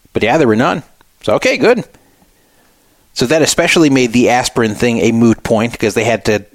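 A man's voice: medium pitch, no echo. Middle-aged American man, casual dry-room talk. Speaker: radio show host. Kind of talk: casual personal storytelling.

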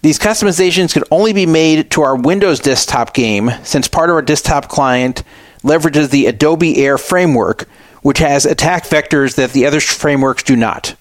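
A man's voice: on the high side, normal speed, dry recording. A man hosting a radio show, reading out and explaining things in a conversational, explanatory way. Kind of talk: talk radio commentary, reading out and explaining a statement.

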